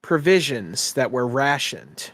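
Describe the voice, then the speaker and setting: A man's voice: mid-range voice, lively intonation, takes it slowly, fairly bright tone, no room sound. A man narrating a tabletop game as game master in a descriptive storytelling style.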